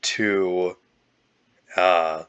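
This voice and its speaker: A young man with a cold, talking in a halting, casual way with many fillers and restarts. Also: low-pitched, fairly even intonation, a bit bright, smooth, dull audio. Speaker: YouTuber. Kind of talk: casual year-end vlog.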